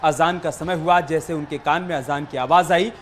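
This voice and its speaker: High-voiced male news anchor. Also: steady tone, normal speed, fairly bright tone, a little hiss or hum. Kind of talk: studio news presentation.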